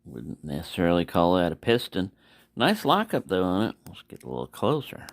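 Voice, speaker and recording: A man's voice: low voice, wildly swooping pitch, moderate pace, gravelly. A man giving a casual, unscripted product review, rambling and correcting himself as he goes. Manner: rambling, self-correcting, mumbling asides. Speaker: knife reviewer.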